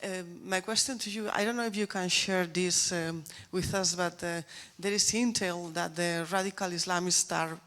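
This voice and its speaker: A woman with a Spanish accent speaks fairly low. She is a journalist asking a question, pausing often between short, hesitant phrases. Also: steady tone, a bit bright. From Spain. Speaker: newspaper journalist. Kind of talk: asking a question at a press event.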